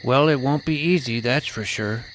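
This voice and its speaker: A man's voice: mid-range voice, moderate pace, fairly bright tone, slight background noise, lightly acted, rough recording. A man playing a plucky boy hero, telling his own fantasy adventure in scripted first-person narration and dialogue. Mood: casual, defensive. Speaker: narrator and lead character.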